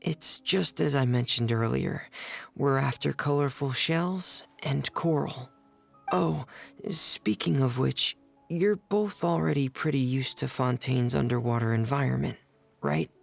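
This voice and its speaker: A man playing a shy, earnest, soft-spoken teenage boy mechanic in a video game, reserved and polite. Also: mid-range voice, measured pace, creaky, soft background music. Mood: calm, helpful.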